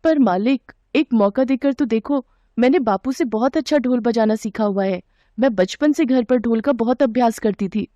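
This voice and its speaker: A woman's voice: fairly high pitch, normal speed, dark tone, no room sound, dull audio, close to an everyday voice. A woman voicing a poor, determined young girl drummer in a story, speaking politely and pleadingly.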